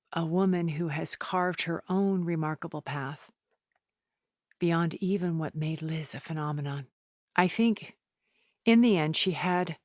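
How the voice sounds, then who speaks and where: Low, creaky, cultured villainess. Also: female voice, no echo, studio quality. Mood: urgent, insistent. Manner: measured, deliberate, intense, polished. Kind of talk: dramatic video game voice acting.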